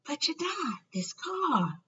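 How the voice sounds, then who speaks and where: A woman voicing a quiet, curious young wizard boy who asks questions in a hushed, breathy, wondering way for a storybook. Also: sits high, very animated, takes it slowly, slight background noise, voice pushed up, full character voice. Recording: dull lo-fi, far from the mic.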